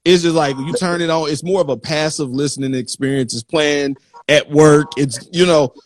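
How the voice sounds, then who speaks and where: A man's voice: fairly high pitch, steady tone, normal speed, dry recording, soft background music. Dry-sounding man hosting a casual interview. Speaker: interview host. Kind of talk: casual conversational interview talk.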